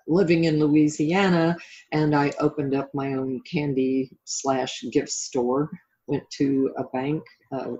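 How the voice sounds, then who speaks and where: Middle-aged American woman, husky voice, reflective interview guest, slightly dull lo-fi recording. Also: deep voice, a little mellow. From southern United States (Florida). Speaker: interview guest, life coach. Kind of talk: reflective interview talk about own life.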